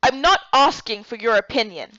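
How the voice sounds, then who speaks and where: A woman voicing a stern, irritated woman leader in a visual novel game, speaking with sharp, emphatic authority. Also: fairly high pitch, expressive, moderate pace, no room sound, highs cut off. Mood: commanding, impatient. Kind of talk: visual novel character voice-over.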